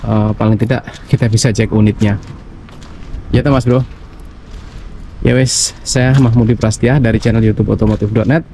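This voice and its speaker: A man's voice: on the low side, steady tone, moderate pace, pauses often, mellow. A man with a Javanese Indonesian accent hosting a casual walkaround of used vehicles outdoors, chatting directly to his viewers. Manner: chatty, addresses viewers as Mas Bro. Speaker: channel host. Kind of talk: casual walkaround car review. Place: outdoor auction vehicle yard. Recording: faint outdoor background noise.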